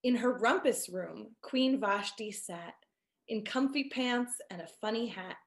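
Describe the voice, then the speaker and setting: Woman, thirties, children's storybook narrator, slow pace, expressive, deliberate rhyming delivery, video call. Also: a little high. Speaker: storyteller and author reading her picture book. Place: indoor room at home, on a video call. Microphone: computer video call.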